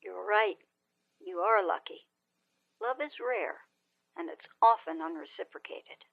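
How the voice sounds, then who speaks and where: Telephone-filtered, mid-pitched old flame, elderly, witty and wry, for a fiction podcast. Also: female voice, measured pace, vocal fry. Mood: tender. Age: older. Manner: wry, teasing. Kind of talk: audio drama acting, phone reunion. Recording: tinny, narrow-band phone line.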